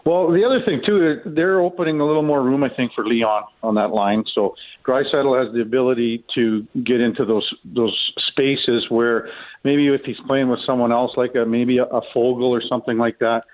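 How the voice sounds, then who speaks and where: A male sports commentator heard through a narrow, phone-like connection, with a mid-pitched voice. He gives casual analysis in a conversational way, circling back to rephrase his points. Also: steady tone, moderate pace. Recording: tinny, phone-like narrow band.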